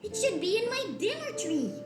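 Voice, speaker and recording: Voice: extremely high pitch, very animated, a little fast. An actor voicing a small, fussy, set-in-its-ways animal in a children's cartoon, speaking emphatically. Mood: fussy, firm. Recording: roomy sound, loud music underneath.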